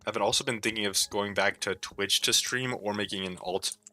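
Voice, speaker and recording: Young male streamer, worn out and a bit sore-throated; very low, crisp voice; drawling, filler-heavy chat. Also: steady tone, fairly quick, pushed and bright, faint music behind. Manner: laid-back, low and mumbly, lots of "like" fillers. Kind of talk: casual rambling stream talk.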